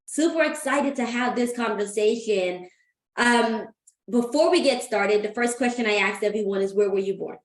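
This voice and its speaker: A woman's voice: a little high, moderate pace. A woman from Guyana hosting an interview show, asking friendly questions in an upbeat way over a video call. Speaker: host. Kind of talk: interview host. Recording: echoey room.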